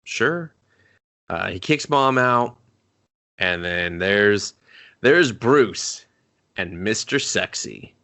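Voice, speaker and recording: A man's voice: lowish voice, animated, bright, forceful tone. A man co-hosting a movie review podcast, recapping scenes in casual, joking banter and acting out the characters' lines. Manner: joking, acts out scenes in quick put-on voices. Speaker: podcast co-host.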